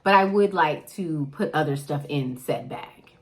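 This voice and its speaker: A woman in her late thirties co-hosting a casual book podcast, playful and expressive. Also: deep voice, a little slow. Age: 30s. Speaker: podcast co-host.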